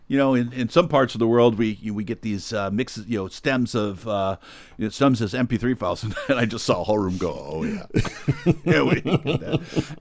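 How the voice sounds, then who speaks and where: Podcast co-host: middle-aged man with a Midwest and New York American accent, animated pitch, talks casually and restarts a lot. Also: no echo. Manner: chatty, laughing, frequent restarts. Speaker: audio engineer co-host.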